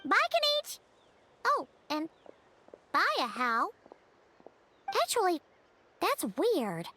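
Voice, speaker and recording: A woman voicing a tiny childlike fairy companion, excitable and curious, in a squeaky voice for a video game. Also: takes it slowly, background noise, heavily acted. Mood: perky, curious.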